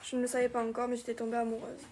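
A woman's voice: a little high, monotone, rapid-fire, warm tone, a little hiss or hum, close to an everyday voice. A woman playing a young archer heroine, answering earnestly and plainly.

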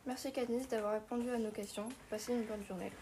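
A woman interviewer reading out her questions quickly. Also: fairly high pitch, steady tone, warm tone, slight background noise.

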